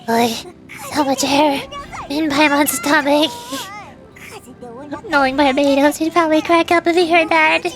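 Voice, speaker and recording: A small childlike fairy companion acted out by a woman with a high, chirpy voice. She chatters in a cute, excitable way, like a game sidekick. Faint music runs behind her. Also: a little slow, pauses often. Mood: chirpy, eager. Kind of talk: animated voice-over of video game dialogue.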